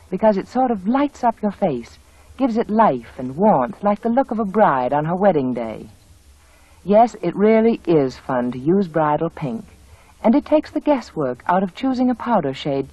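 A woman's voice: medium pitch, dry recording. A woman delivering a scripted 1940s radio advertisement as a beauty spokeswoman. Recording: dull vintage radio recording.

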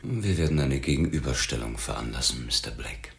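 An actor playing a dry, probing police lieutenant in a crime radio play, with measured, ironic questioning. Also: male voice, reverberant. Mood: probing, stern. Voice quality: very deep, gravelly.

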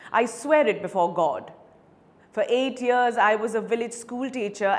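A woman voicing a ragged, humble male beggar in a dramatic story reading, pleading and evasive. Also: on the high side. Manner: plaintive, halting when challenged.

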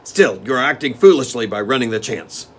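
A man voicing a gruff male gnome steward who growls and scolds his master bluntly. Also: medium pitch, normal speed, pitched above the performer's own voice, lightly acted. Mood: gruff, scolding.

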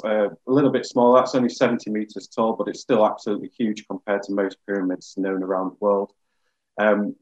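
A man in his thirties gives an informal, factual presentation as a webinar guest. His voice is on the low side and measured. The video-call sound is somewhat dull and lo-fi. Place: indoor room.

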